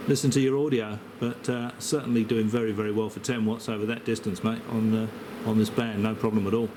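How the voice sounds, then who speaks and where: A man's voice: fairly low pitch, brisk. An Australian man chatting casually as an amateur radio operator, with a hesitant, rambling, matey delivery. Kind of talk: casual radio contact chat. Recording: steady background noise, radio hiss.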